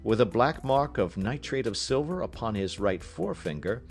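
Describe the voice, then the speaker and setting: A man voicing a brisk, self-assured gentleman detective in an audiobook, precise, emphatic and teasing. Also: lowish voice, lively intonation, soft background music. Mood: brisk, confident. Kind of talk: audiobook character reading.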